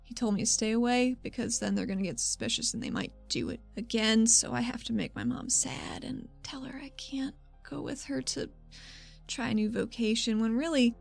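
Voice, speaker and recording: Creaky high-voiced woman roleplaying, halting. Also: big pitch swings. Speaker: player in a tabletop role-playing show. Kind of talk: improvised in-character roleplay. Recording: music bed behind the voice.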